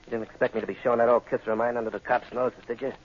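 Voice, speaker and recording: Male gangster played by a man, American, slangy and volatile, mid-pitched, for a vintage radio crime drama with muffled sound. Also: little pitch movement, rapid-fire, close to an everyday voice. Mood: sly, confident. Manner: tough underworld slang. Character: desperate male gangster and bank robber, whining and hot-tempered. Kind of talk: 1940s radio acting. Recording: dull, noisy 1940s radio recording.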